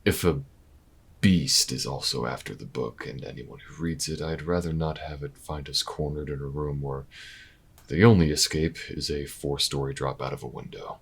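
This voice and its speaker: An actor playing a weary, wry, protective investigator in an intimate audio drama, low-key and dry, with hesitant pauses. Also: male voice, steady tone, exaggerated voice. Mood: weary resolve. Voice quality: very deep, gravelly, husky. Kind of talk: audio roleplay acting, intimate and naturalistic.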